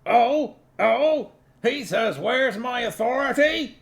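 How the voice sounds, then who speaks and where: Nobby, a gravelly, high-pitched blusterer. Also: male voice, lively intonation, slow pace, heavily acted. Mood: brash, pushy. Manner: blustering, pushy, bossing people about. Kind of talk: audiobook character voice.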